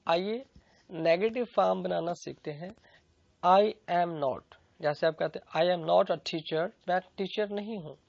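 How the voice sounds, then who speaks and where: Gravelly, unhurried grammar teacher with Pakistani accent. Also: medium pitch, darkish tone, no room sound. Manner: methodical, repeats and translates each phrase. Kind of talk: explanatory grammar lesson, bilingual with translated examples. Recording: dull, lo-fi recording.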